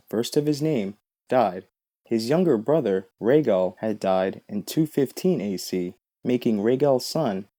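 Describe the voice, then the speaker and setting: A man's voice: medium pitch, dark tone. A man giving scripted, documentary-style narration of fantasy lore in an explanatory, matter-of-fact way.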